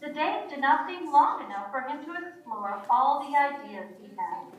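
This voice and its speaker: A woman's voice: sits high, expressive, moderate pace, dull audio. A woman narrator reading a scripted children's story in a storytelling style. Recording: echoey room, far from mic. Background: music.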